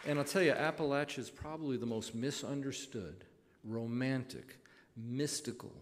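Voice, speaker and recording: A man's voice: medium pitch, moderate pace, a crowd in the background, noisy background. A man hosting a live radio show on stage, talking in an earnest, emphatic, folksy way. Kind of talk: live on-stage interview and introduction. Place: on stage in a theater. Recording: live theater hall with audience.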